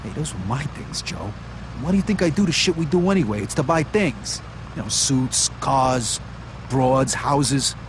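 A man's voice: mid-range voice, lively intonation, moderate pace, slight background noise, natural voice, rough recording. A man playing a bitter, hard-boiled gangster in a video game, sullen and profane. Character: bitter, hard-boiled male gangster who lost his house.

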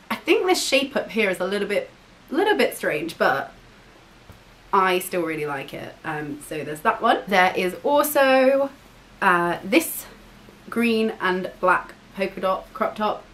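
A young woman in her mid-twenties talks through her purchases in a chatty, bubbly way, tossing in quick asides. She sits in her bedroom and speaks straight to a camera mic. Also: medium pitch, expressive, a bit bright. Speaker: vlogger. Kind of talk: casual haul vlog. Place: bedroom, indoors. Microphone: camera mic, seated facing camera.

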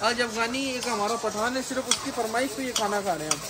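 A man's voice: very high voice, fast talker, heavy background noise. A man enthusiastically presenting food at an outdoor restaurant kitchen at night. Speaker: food vlogger co-host. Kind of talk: casual food vlog commentary. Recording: crowded restaurant with sizzling pans.

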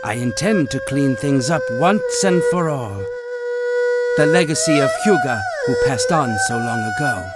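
A scheming old man in a game cutscene, measured and faintly condescending. His pitch swoops widely and his pace is unhurried, with loud music playing behind him. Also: wildly swooping pitch. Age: older. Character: scheming old man returning to settle things.

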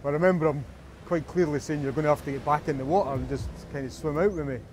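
Halting man, outdoors. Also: on the high side, background noise. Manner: halting, with restarts and hesitations. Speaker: rescued man. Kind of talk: recalling an ordeal in an interview.

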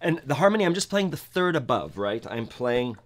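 A man's voice: mid-range voice, very animated, a little fast. A man in his thirties teaching accordion on a livestream from a home music room, thinking aloud and scatting melodies. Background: accordion playing.

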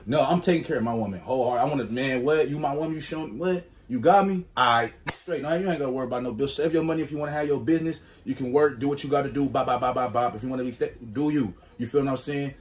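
A man in his twenties doing casual reaction commentary on a headset mic, slangy and animated, jumping to high exclamations. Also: fast. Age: 20s. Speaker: reaction streamer.

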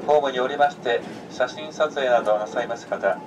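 A man announces arrivals and the next stops in a measured way, often starting with a short "e". His voice comes from a distant train speaker, and a loud rumble runs underneath it. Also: muffled recording. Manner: measured, with short "e" lead-ins. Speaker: train conductor. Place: inside a moving train.

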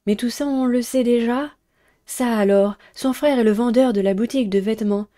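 A woman's voice: on the high side, normal speed, warm tone. A young woman, casually commenting on a game and reading its text aloud. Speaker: streamer playing a visual novel.

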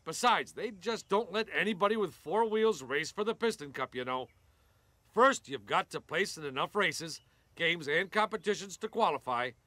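Bright, hearty truck buddy. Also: male voice, high-pitched, animated, normal speed, dry recording. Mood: encouraging. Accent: American. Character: hearty, encouraging male semi truck. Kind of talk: animated video game acting.

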